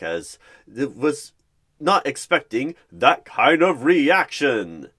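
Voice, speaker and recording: A man narrating a roleplay story in the third person, with a deliberate, halting delivery. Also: fairly low pitch, a bit bright.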